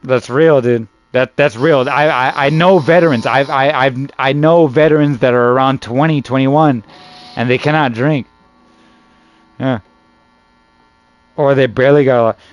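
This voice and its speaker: A man's voice: mid-range voice, steady tone, measured pace, long pauses. A man in his early thirties in casual, teasing chat with gaming friends, loose and slangy, calling people "dude" and "bro" and swearing now and then. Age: 30s. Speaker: gaming streamer.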